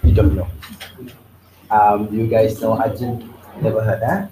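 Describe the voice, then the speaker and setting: A man's voice: lowish voice, flat delivery, a little slow, noisy background. A man with a Malaysian English accent, a commercialization specialist who is losing his voice, giving a conversational workshop talk and quizzing the audience. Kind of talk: workshop presentation. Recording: echoey room, faint ambience.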